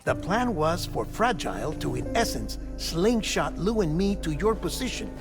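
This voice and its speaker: An actor playing a wry, caring comrade in a video game cutscene, conversational and explanatory. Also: male voice, fairly high pitch, sing-song, moderate pace, music in the background. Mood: reassuring, explanatory.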